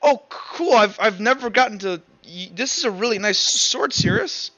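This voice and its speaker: Tabletop game role: earnest, awkward young male guide acted by a man, high-pitched, halting, slightly dull recording. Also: big pitch swings, bright, forceful tone, full character voice. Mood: excited, impressed. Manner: halting, earnest, easily impressed.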